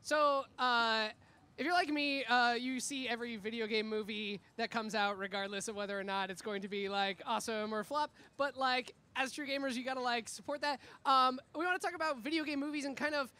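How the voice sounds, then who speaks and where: A woman's voice: on the high side, steady tone, fairly quick, sharp tone. A woman hosting a live panel in a casual, chatty, slangy way. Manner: chatty, informal, frequent slangy "like". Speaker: panel host. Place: live convention stage. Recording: noisy live event room.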